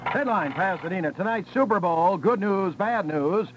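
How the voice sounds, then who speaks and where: A man's voice: sits high, expressive, normal speed. A man delivering scripted radio sports commentary in clipped datelines with dramatic emphasis. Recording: noisy old radio cassette recording.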